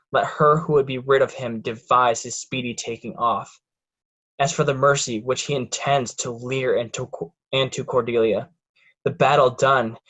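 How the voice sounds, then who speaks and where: A man playing a coldly ambitious, scheming nobleman in a Shakespeare reading, measured and commanding. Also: mid-range voice, fairly bright tone, natural voice. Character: cold, ambitious, scheming male villain nobleman. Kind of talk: Shakespearean verse read in reader's theatre.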